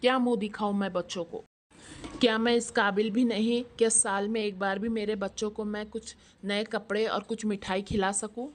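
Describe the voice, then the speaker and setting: A woman's voice: medium pitch, level tone, moderate pace, close to an everyday voice. Woman voicing a poor, humble shopkeeper father. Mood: despairing. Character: poor, pleading male shopkeeper, a worried father. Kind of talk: scripted moral-story dialogue.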